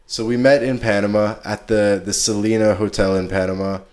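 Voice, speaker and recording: Low-voiced man, casual podcast host. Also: little pitch movement, fairly quick, darkish tone. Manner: laid-back, slangy.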